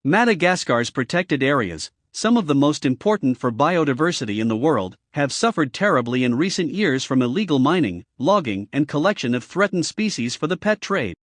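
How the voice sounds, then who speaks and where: Guy reading a study passage out loud, really lively up and down, dry recording. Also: fairly high pitch, normal speed, hardly any pauses, no echo. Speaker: narrator of exam practice passages. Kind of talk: scripted read-aloud narration.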